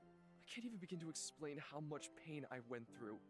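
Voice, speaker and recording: An actor voicing a gentle, weary immortal healer haunted by old suffering, speaking slowly and softly in a dramatic visual novel style. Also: male voice, a little high, big pitch swings. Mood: anguished, grave. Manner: slow, halting, soft-spoken confiding. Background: background music.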